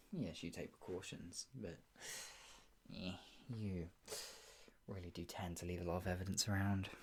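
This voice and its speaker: A performer playing a sadistic, obsessive yandere captor in an improvised ASMR roleplay, creaky, taunting and drawn out, slipping between talk and whispers. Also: sits low, darkish tone, a little hiss or hum, heavily acted. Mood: mocking admiration. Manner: taunting, drawn-out delivery with frequent giggles and whispers.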